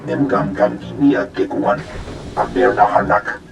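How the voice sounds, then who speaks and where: An actor voicing an alien creature in a video game, with choppy, guttural syllables in an invented tongue. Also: male voice, mid-range voice, fairly even intonation, normal speed, creaky. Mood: insistent. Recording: rainy, noisy outdoor ambience.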